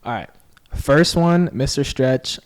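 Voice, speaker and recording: A man's voice: mid-range voice, slow. Easygoing young male podcast host. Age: young adult. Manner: chatty and easygoing, heavy on "like" and "you know". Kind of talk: casual interview.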